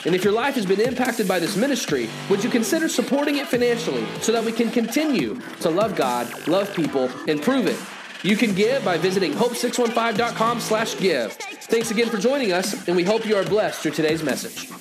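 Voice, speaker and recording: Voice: medium pitch, expressive, brisk. A podcast announcer reading a friendly, upbeat scripted intro for a church podcast. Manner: friendly, upbeat promo read. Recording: clear music bed under voice.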